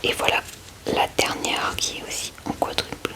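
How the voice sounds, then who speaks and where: Woman doing asmr, all breathy whispers, little casual comments to viewers, super close mic. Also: normal speed. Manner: gentle, informal, murmuring short remarks to viewers. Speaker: ASMR video creator. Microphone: close ASMR microphone.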